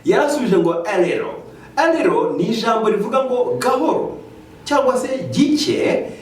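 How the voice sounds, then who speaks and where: A man with a Rwandan accent teaching English in a slow, careful way, switching into Kinyarwanda to explain. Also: very high voice. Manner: slow, careful explaining, lively rising questions. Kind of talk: bilingual language lesson. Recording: echoey room, mic at a distance.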